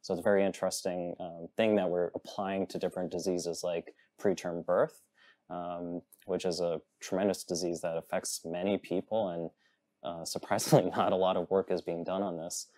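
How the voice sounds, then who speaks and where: A man's voice: deep voice, flat delivery, normal speed, mellow, no echo. A man in his thirties from Massachusetts, speaking earnestly as a new faculty member on a studio panel, with frequent ums. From Massachusetts, USA. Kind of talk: panel discussion. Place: television studio set.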